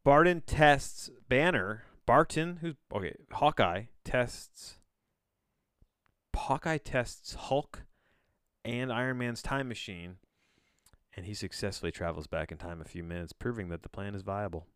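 A man's voice: mid-range voice, measured pace, a bit bright, smooth, no echo, clean studio sound. A man hosting a solo podcast, casually reading aloud with bemused, rambling asides and frequent self-interruptions. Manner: casual swearing. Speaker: podcast host.

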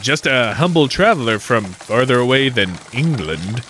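A man plays a wily adventurer in a comedic 1940s-style radio play. He delivers sly, folksy patter full of old-time slang, with a lively pitch that rises and falls freely. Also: moderate pace, a little hiss or hum, natural voice. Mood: scheming. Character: folksy, wily adventurer narrating his own scheme.

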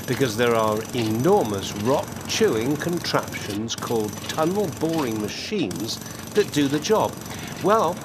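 A man's voice: mid-range voice, background noise. A man hosting a scripted on-location audio report, speaking theatrically and straight to the listener. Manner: theatrical, addresses the listener directly. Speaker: podcast host and narrator.

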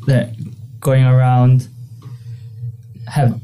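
A young woman student guest who speaks haltingly, searching for her words in casual conversation. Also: rumbling low, flat delivery, mellow, a little hiss or hum, rough recording. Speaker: international college student guest.

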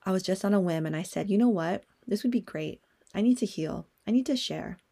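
A woman's voice: medium pitch, lively intonation, a little fast, vocal fry, warm tone, breathy edge. A young woman hosting a solo podcast, talking in a candid, personal way and swearing now and then. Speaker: podcast host.

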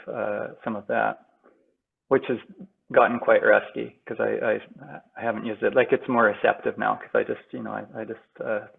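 A man's voice: lowish voice, vocal fry, slightly rough. Hesitant man on a tinny call line. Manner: hesitant, many ums and restarts. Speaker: guest. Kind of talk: casual interview answers. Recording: tinny phone-like call audio.

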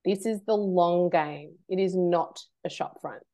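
A woman guest on a podcast, a trained marketer talking in an animated, emphatic way and laughing between points. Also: lowish voice, unhurried. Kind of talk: casual interview chat.

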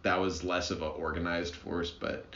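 A man's voice: deep voice, little pitch movement, normal speed, fairly bright tone, roomy sound, dull audio, slight background noise. A man co-hosting a casual history podcast, rambling with lots of fillers and restarts and casual swearing. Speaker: podcast co-host.

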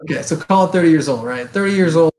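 A man's voice: on the high side, quick pace, a little mellow. A middle-aged man hosting a casual interview, chatting candidly and rambling through his own stories. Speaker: podcast host.

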